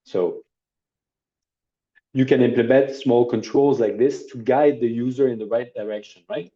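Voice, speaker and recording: Middle-aged male instructor, very dark mellow voice, casual live demo over a dull video-call line. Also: mid-range voice, measured pace. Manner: relaxed, conversational, with hesitations and asides. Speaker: workshop instructor. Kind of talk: live online training demo.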